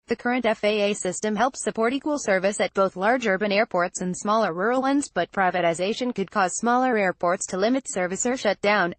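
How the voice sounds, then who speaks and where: A woman's voice: medium pitch, no echo. A woman reading scripted news narration in a formal, word-for-word manner.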